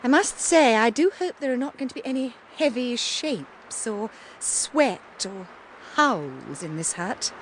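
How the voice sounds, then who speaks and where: An actress playing a practical, well-bred young lady in a radio drama, with an upper-class southern English accent and a crisp, condescending manner. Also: fairly high pitch, animated, unhurried, noisy background. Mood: wry. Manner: crisp, prim, politely condescending.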